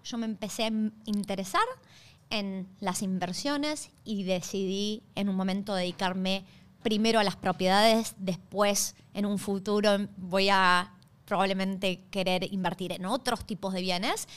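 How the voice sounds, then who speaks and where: A woman's voice: medium pitch, fairly bright tone. A woman with an Argentine accent hosting a podcast, talking conversationally in an explanatory way and checking in with listeners. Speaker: podcast host.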